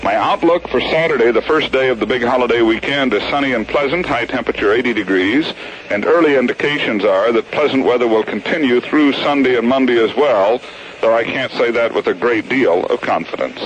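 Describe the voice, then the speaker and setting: A man's voice: mid-range voice, brisk, crowd noise, poor audio. A man giving a conversational weather forecast as a radio forecaster on a 1970s AM broadcast.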